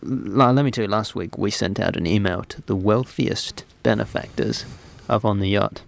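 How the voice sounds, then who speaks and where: A man hosting comic radio banter, his voice hoarse from illness. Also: on the low side, normal speed. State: hoarse, ill. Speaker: radio show host. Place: radio studio.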